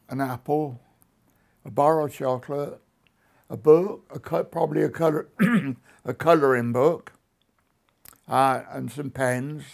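A man's voice: medium pitch, vocal fry. An older man reminiscing about childhood Christmases in a seated interview in a living room.

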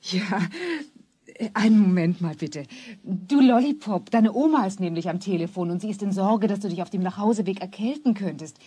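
A woman's voice: mid-range voice, moderate pace, warm tone, husky, dry recording, lightly acted. A woman playing a polite, attentive mother in a children's radio play. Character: friendly mother answering the telephone.

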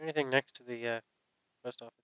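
A man's voice: mid-range voice, fairly even intonation, quick pace, fairly bright tone, clear, resonant tone, no room sound, low-quality recording. A man hosting a gaming video with casual, jokey commentary, muttering to himself and exclaiming. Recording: game music behind the voice. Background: video game music.

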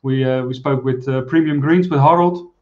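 A man in his forties hosting a live stream interview over a video call, talking in a friendly, halting way with many fillers. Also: mid-range voice, muffled recording. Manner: friendly, halting, many uhs, laughs easily. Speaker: host. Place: small room, indoors.

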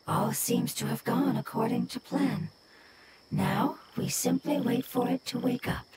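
A woman's voice: a little high, unhurried, very noisy, lightly acted. A woman voicing a wise mushroom spirit in a video game, with formal, precise wording. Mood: wise, calm.

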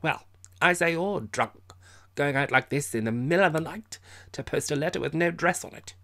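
A man's voice: fairly high pitch, moderate pace, a bit bright, pitched above the performer's own voice. A man voicing a drunken, indignant middle-aged man in an audiobook, with portentous, insistent, stumbling speech. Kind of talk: audiobook character voice.

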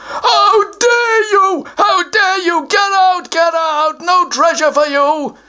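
A proud little guardian of a treasure hoard, as a woman puts it on for a children's story, who squeaks high above her own voice. It blusters and takes offence at every answer, its tone leaping up and down with indignation. The delivery stays slow and drawn out. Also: expressive, fairly bright tone, full character voice. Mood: indignant. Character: vain, touchy guardian creature of a treasure hoard.

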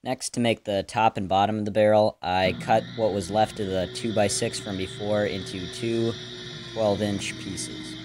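A man's voice: fairly low pitch, fairly even intonation, moderate pace, over music. A man narrating a scripted woodworking how-to in a plain, matter-of-fact, step-by-step way. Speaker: woodworker, video host.

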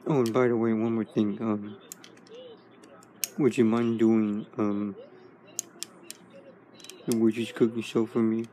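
A man's voice: fairly low pitch, flat delivery, slow, long pauses, dark tone, a little hiss or hum, low-quality recording. A man voicing a laid-back male robot toy hero in a home toy video, talking with lots of fillers and restarts. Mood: easygoing. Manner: rambling, many fillers.

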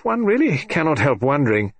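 A man's voice: very animated, brisk, no echo. A man voicing a fussy, flustered government official in an audiobook, who stammers and hesitates over his words. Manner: hesitant, stammering, higher-pitched. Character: fussy, flustered middle-aged male official. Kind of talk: audiobook character voice.

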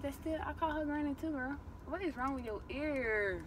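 A young adult woman chatting casually for a vlog in an animated, teasing, slangy way, recorded on a phone inside a car. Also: high voice, moderate pace, very noisy. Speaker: vlogger. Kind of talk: casual vlog chat with playful bickering. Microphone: phone camera at arm's length.

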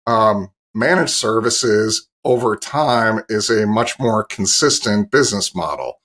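A middle-aged man with a fairly low voice speaks as an interview guest on a video call. He explains business matters like an industry analyst, indoors in a home office. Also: lively intonation, takes it slowly, fairly bright tone.

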